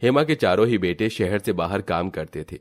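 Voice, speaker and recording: Low-pitched male story narrator. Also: expressive, moderate pace. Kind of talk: scripted storybook narration for a Hindi cartoon.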